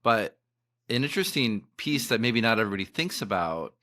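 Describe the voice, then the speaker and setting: Guy hosting a podcast, low voice but really bright and forward, dry sound, lots of ums. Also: big pitch swings, fairly quick, dry recording. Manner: hesitant, many fillers. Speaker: podcast host.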